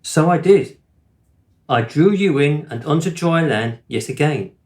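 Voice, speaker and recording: Man playing an earnest, higher-voiced father. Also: animated, measured pace, a little mellow. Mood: confessing. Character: earnest, honest father confessing to his child. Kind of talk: radio play storytelling.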